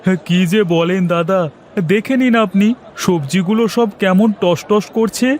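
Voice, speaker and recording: Male actor as young village vendor, high-pitched, eager, obliging, cartoon dub. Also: normal speed, slight background noise, lightly acted. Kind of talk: Bengali moral cartoon dubbing.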